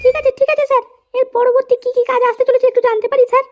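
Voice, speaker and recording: A woman's voice: extremely high pitch, level tone, very fast, lo-fi sound, noisy background. A woman voicing an eager, chirpy young woman in a comedy cartoon. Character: squeaky, eager young woman, girlfriend or reporter.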